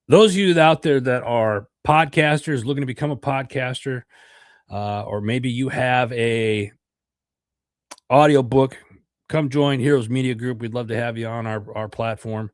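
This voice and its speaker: A man's voice: medium pitch, no echo, studio quality. A middle-aged American man with a congested voice, hosting a podcast livestream in a rambling chat with many fillers and repeated words. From United States. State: congested from a cold. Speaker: podcast host.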